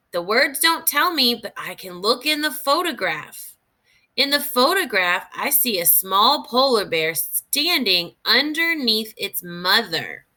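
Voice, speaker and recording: Woman, primary teacher read-aloud, lively swooping intonation, slow pace, expressive, instructional think-aloud delivery. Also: mid-range voice. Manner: deliberate, expressive, clearly phrased for young learners. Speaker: teacher reading aloud to young children. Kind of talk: instructional read-aloud of a children's nonfiction book with think-aloud comments.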